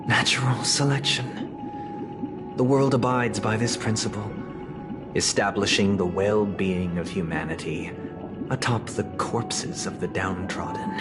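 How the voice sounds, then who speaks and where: A calm, low-voiced idealist leader. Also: male voice. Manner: measured, formal, gently condescending. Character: calm, persuasive young male leader, idealistic and controlling. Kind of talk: video game voice acting. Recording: game cutscene with loud score. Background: orchestral music.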